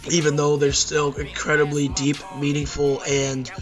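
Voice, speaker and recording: A hoarse young man ranking albums in a rambling, casual talk, full of fillers and self-corrections. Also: fairly high pitch, steady tone, normal speed, fairly bright tone, soft background music. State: hoarse from losing voice at a concert. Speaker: YouTube music reviewer.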